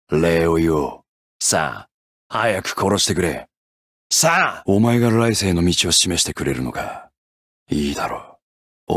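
A death-hungry gunman from an anime-style game. His voice is deep and husky, recorded very dry. He is scornful and listless, with menace underneath. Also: no echo, exaggerated voice. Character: brooding death-seeking male gunman, scornful, longing for rebirth.

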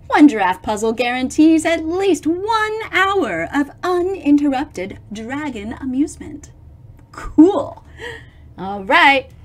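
A middle-aged woman reads a children's picture book aloud in a high voice. Her pitch swoops widely and she takes her time, giving words theatrical emphasis and breaking off to explain them to young listeners. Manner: expressive, theatrical, with dramatic emphasis and sound effects. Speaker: storyteller reading picture books aloud to children.

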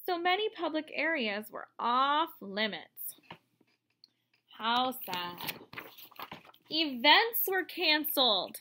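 A woman in her thirties reading a picture book aloud to children, explaining as she goes in an expressive way. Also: a little high. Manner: expressive, explanatory, addressing young listeners. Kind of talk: read-aloud storytelling for children with asides. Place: room at home, indoors.

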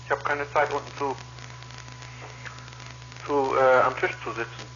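A man's voice: medium pitch, fairly even intonation, measured pace, sharp tone. A man in a casual two-way chat as an amateur radio operator, heard over a radio link. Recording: lo-fi ham radio link with hiss.

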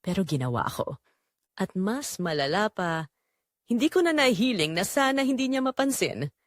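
A woman narrating a scripted first-person story in a confessional, dramatic way with deliberate phrasing. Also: medium pitch, big pitch swings, dry recording, clean studio sound.

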